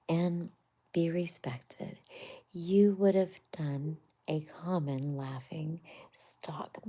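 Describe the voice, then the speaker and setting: A woman's voice: low-pitched, mellow, full character voice. A woman whispering as a gloomy stern badger.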